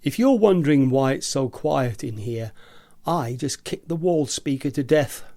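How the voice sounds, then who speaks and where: An amateur actor playing a jaded, agitated prisoner who rants about machines in a theatrical, emphatic way, as in a radio play. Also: male voice, mid-range voice, animated, a little slow, warm tone. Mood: prickly, playful. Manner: theatrical, emphatic, ranting with repetition.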